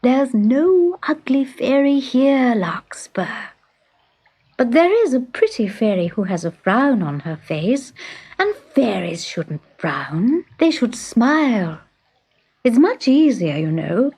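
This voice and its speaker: Storybook fairy queen, voiced by a woman: regal, gentle and wise, slow, soft, patient delivery. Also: fairly high pitch, big pitch swings, slightly rough, exaggerated voice, poor audio. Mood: reassuring. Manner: soft-spoken, patient, reassuring. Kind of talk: children's storybook character voice.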